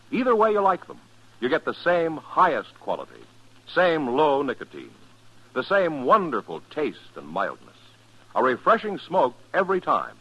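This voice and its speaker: An American man announcing a vintage radio commercial with a raspy voice and an emphatic, polished sales delivery. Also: on the high side, pushed and bright. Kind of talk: 1950s radio advertising pitch. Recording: muffled vintage radio recording.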